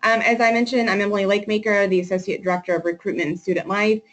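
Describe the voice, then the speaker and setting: A woman's voice: mid-range voice, fairly even intonation, rapid-fire. A woman hosting a webinar as associate director, friendly and loosely organized, with fillers.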